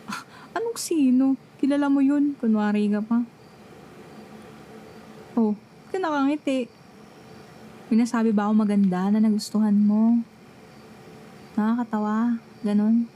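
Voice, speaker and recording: A woman's voice: on the high side, unhurried, pauses often, mellow, a little hiss or hum, lightly acted. A young woman with a Filipino accent playing a jealous, sulky best friend who fakes indifference in an ASMR roleplay. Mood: sarcastic, jealous. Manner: dramatic, sulky, sarcastic. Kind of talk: ASMR roleplay, one-sided dialogue.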